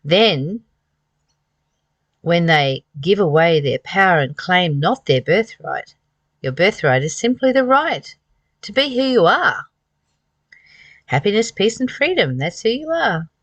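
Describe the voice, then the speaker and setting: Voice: medium pitch, sing-song, takes it slowly, long pauses, fairly bright tone, no room sound. A host reading aloud from a spiritual book and commenting on it, earnest and emphatic, with repeated phrases and rhetorical questions.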